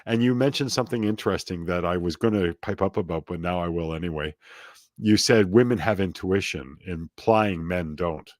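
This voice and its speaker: A middle-aged man with a very low voice talks as a guest in a casual interview. He drifts onto tangents and pokes fun at himself. His voice sounds close and dry, with no room in it. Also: normal speed, dry recording. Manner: rambling, self-deprecating, prone to tangents.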